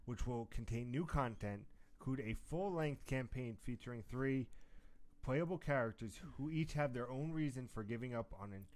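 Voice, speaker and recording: A man's voice: medium pitch, normal speed. A man hosting a gaming news show, mixing news reading with casual, teasing banter and the odd swear word.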